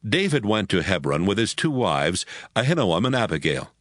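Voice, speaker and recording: A man narrating a Bible audio drama from a script in a measured storytelling manner. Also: lowish voice, very animated, a bit bright, dry recording, clean studio sound. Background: music.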